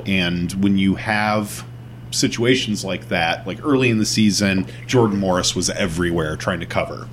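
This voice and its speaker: A man co-hosting a casual podcast, reading listener questions with a joking, measured delivery. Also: low-pitched, a little hiss or hum.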